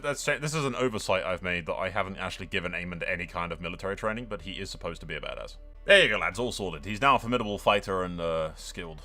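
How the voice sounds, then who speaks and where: A man giving casual, excited gameplay commentary as a streamer, with sweary, theatrical banter. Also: deep voice. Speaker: game streamer narrating a strategy role-play. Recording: music behind the voice. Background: music.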